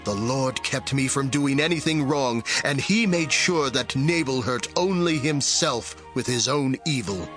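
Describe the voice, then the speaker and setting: A man's voice: medium pitch, expressive, unhurried, few pauses, fairly bright tone, soft background music. A man playing a devout, commanding biblical warrior leader, speaking in a solemn, assured, declamatory way in a dramatized audio Bible. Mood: solemn gratitude.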